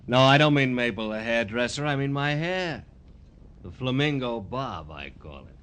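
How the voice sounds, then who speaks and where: Actor as vain, flamboyant wrestler, swooping delivery. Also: male voice, medium pitch, lively intonation, moderate pace, a bit bright, a little hiss or hum, natural voice. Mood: boastful, preening. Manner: brash, self-admiring, slangy. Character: vain, flamboyant, dim professional wrestler with a showy ego. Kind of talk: 1950s American radio crime drama acting.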